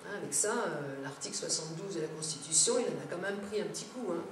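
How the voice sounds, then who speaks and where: A woman's voice: on the low side, lively intonation, fast talker. Female seminar speaker, explanatory with informal asides, heard from off-mic in a heavily echoing space with faint noise. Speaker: conference speaker, local government adviser. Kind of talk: public lecture to elected officials. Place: large echoing indoor seminar room. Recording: very echoey room, distant mic, background noise.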